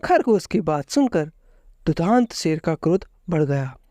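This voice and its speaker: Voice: medium pitch, very animated, unhurried, warm tone, no echo, clean studio sound. A storyteller giving a scripted narration of a children's fable.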